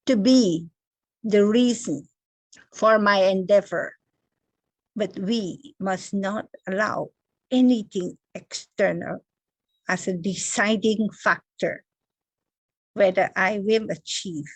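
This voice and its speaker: A woman's voice: mid-range voice, no room sound, low-quality recording. A woman from Guyana, giving an inspirational spiritual talk as a meditation teacher, stressing her words one at a time in emphatic lists.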